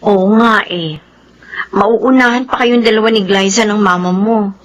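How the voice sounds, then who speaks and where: A woman's voice: mid-range voice, a little slow, natural voice. Radio soap girlfriend, played by an actress: young Filipino woman, middle-register voice, impatient and wistful about marriage. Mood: curious. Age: young adult. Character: impatient, doubting young girlfriend longing to marry.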